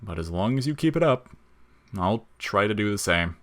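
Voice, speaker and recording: A man's voice: lowish voice, animated, normal speed, fairly bright tone. A man playing a laid-back, wisecracking skeleton in an audio story, casual, joking and slangy. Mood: resigned, casual.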